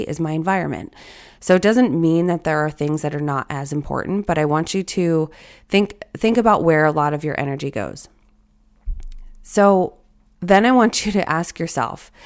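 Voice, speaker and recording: A woman in her thirties hosting a solo podcast, her voice raspy and hoarse, talking in a reflective, encouraging way straight to her listeners. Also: sits low. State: hoarse from allergies or illness. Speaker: podcast host.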